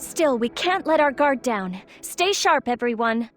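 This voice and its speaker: Noble young game princess, high voice, earnest and formal, faint music behind. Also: female voice, lively intonation, unhurried. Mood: encouraging. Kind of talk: video game cutscene acting.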